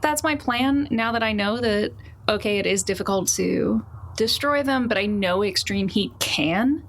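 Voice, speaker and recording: A woman's voice: mid-range voice, a little slow. A woman playing a tabletop roleplaying game, improvising in a loose, jokey, conversational way. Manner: loose, jokey, prone to chuckling. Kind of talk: casual in-character improvised chat. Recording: faint background noise.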